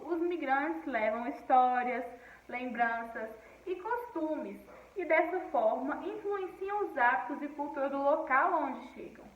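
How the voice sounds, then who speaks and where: High-voiced teacher in an echoey room. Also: female voice, recorded from a distance, muffled recording. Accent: Brasília Portuguese. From Paraíba, Northeast Brazil. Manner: explanatory, often checks in with "tá bom?". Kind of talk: recorded class lesson reading from a textbook.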